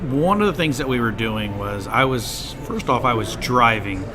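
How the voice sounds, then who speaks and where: Male co-host, average pitch, relaxed casual podcast conversation. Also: moderate pace, background noise. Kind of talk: casual chat.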